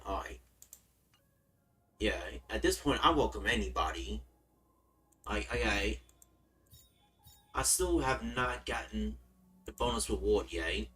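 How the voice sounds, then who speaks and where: A laid-back man from the United States chats through his game stream in a relaxed, rambling way. He often restarts and repeats his words. His mic sits a bit far off, with faint game music underneath. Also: fairly low pitch. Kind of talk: casual gaming stream commentary.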